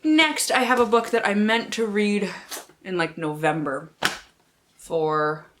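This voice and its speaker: A woman talks in a casual book vlog with a theatrical streak. Her delivery is playful and slangy, and she swears freely. Her voice sits at an average pitch for a woman. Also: slow, a bit bright, a little hiss or hum. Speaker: book vlogger. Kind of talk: casual vlog with dramatic readings of book blurbs.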